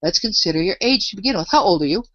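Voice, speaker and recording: A woman's voice: mid-range voice, lively intonation, racing, fairly bright tone, dry recording, dull audio, pitched above the performer's own voice. A woman voicing a scatty, imperious old queen in an emphatic, dotty manner for a storybook reading. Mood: bossy, fussing. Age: older. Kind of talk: storybook character voice.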